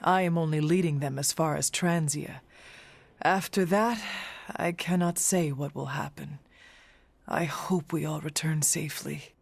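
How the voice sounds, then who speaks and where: A formal, earnest ancient warrior. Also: female voice, deep voice, fairly even intonation, measured pace, creaky, breathy edge, dry recording, exaggerated voice. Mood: dry, earnest. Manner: formal, stiff, no contractions. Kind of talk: video game voice acting.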